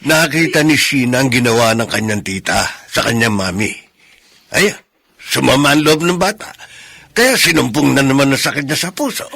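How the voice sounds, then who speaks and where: Casting: earnest family man, radio drama, husky and gravelly, grave deliberate delivery. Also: medium pitch, expressive, bright, forceful tone, no echo, studio quality, heavily acted. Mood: grave, concerned. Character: earnest, concerned older-generation family man bearing bad news. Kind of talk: Filipino radio melodrama acting.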